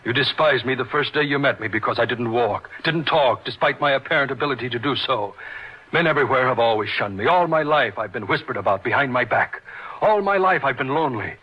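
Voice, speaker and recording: A man's voice: medium pitch, animated, fairly quick, husky. Man acting a lonely otherworldly genius, muffled radio recording. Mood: lonely, anguished. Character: brilliant, lonely, otherworldly young surgeon, intense and superior. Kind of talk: old-time radio horror acting. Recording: muffled radio drama with background hiss.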